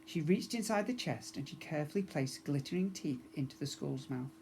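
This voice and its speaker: A man narrates a children's storybook. His delivery is expressive and clearly aimed at young listeners, like a teacher reading to a class. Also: fairly high pitch, brisk, a little hiss or hum.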